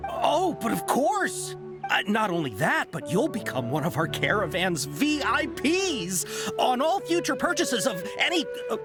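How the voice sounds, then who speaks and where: An eager caravan merchant in a fantasy game. His voice swoops up and down as he tries to please, over clear background music. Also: extremely high pitch, sing-song, measured pace, exaggerated voice. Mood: fawning. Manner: ingratiating, swoopy, hesitant salesman talk. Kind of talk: video game voice acting. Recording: music playing behind the voice.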